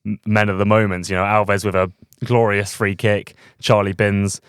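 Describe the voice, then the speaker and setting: Smooth-voiced young podcast host. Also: male voice, fairly low pitch, flat delivery, moderate pace, no room sound, clean studio sound. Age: young adult. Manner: chatty, many fillers and restarts. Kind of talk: casual podcast chat.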